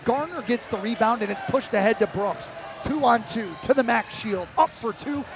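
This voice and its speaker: A male radio play-by-play announcer with a high-pitched voice calls a live basketball game. He describes the action in short, clipped, rapid-fire phrases, following the ball from spot to spot. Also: noisy background.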